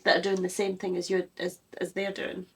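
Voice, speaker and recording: A woman's voice: on the low side, monotone, brisk, vocal fry, breathy edge. A woman who grew up in Germany, co-hosting a music reaction chat and giving earnest opinions in a casual way. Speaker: co-host of a music reaction channel.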